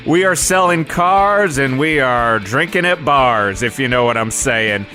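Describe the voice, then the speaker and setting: A man's voice: medium pitch, animated, measured pace, pushed and bright. A man playing a folksy, eager radio reporter, rambling through huckster patter on a parody live remote from a car dealership. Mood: rowdy, folksy. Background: music with guitar behind the voice.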